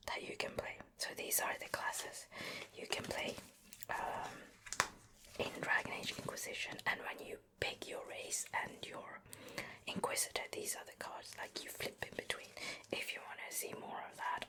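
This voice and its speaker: Softly whispering woman, slow ASMR delivery. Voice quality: breathy unvoiced whisper. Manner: gentle, unhurried, murmured browsing commentary. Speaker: ASMR video creator. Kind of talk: soft whispered ASMR show and tell. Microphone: close microphone, ear-to-ear ASMR recording.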